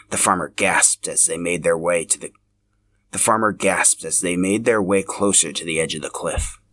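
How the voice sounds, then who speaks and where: Low-voiced man narrating a story. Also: fairly bright tone. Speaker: podcast host and narrator.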